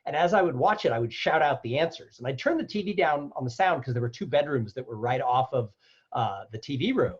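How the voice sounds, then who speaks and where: A man guest on a podcast interview, a loud, animated storyteller who acts out the voices of people he quotes. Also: medium pitch, fairly quick, husky, dull audio. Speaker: guest, keynote speaker.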